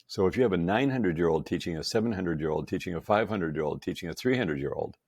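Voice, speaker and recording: A man's voice: low voice, animated, very fast, a little mellow, slightly rough, no room sound, studio quality. A man in his sixties, a podcast guest, talking with enthusiasm and frequent emphasis. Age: 60s. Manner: enthusiastic, emphatic, laughs often. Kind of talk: casual chat.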